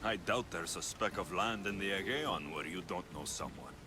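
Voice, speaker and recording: An actor playing a determined, grieving Greek mercenary in a video game, speaking earnestly and tersely. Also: male voice, low voice, normal speed, over music, close to an everyday voice. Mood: easy, wry. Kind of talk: video game cutscene acting.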